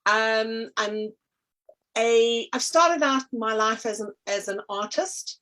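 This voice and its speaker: A woman's voice: a little high, takes it slowly, fairly bright tone. A middle-aged South African woman talking casually in an interview, meandering with many ums and restarts. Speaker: energy healer and artist, guest.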